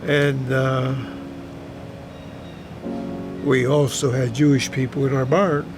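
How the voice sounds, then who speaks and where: A man in his eighties from Hungary, a native German speaker, recalls his life in a halting, repetitive, plain-spoken way, as in an oral history interview. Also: mid-range voice, little pitch movement. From Hungary, German-speaking household. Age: 80s. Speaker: retired teacher recounting his life. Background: music under the voice.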